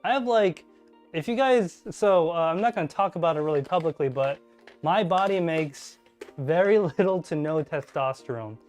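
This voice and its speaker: A man's voice: normal speed, soft background music. Chatty man hosting a tech live stream. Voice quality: deep voice. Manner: chatty, reads chat aloud, thinks out loud. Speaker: podcast host live streaming. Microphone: desk mic, level adjusted mid-stream.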